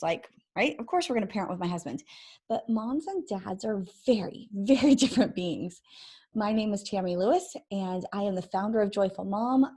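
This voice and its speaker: A woman in her forties speaks at an ordinary middle pitch, but her voice is croaky and a little hoarse. She hosts a casual faith-based parenting vlog into a microphone, chatting warmly to moms, laughing often and wandering off on tangents. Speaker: vlog host and ministry founder. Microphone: microphone she sometimes bumps.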